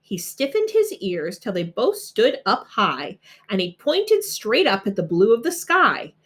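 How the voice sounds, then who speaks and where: A woman narrating a rhyming children's storybook aloud in an expressive, dramatic way. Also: mid-range voice, measured pace. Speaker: storybook reader.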